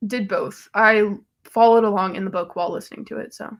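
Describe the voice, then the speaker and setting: A woman's voice: mid-range voice, fairly even intonation, brisk, gravelly. A young woman from Georgia co-hosting a casual live-stream book chat with dry, teasing remarks. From Georgia, United States. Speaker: co-host.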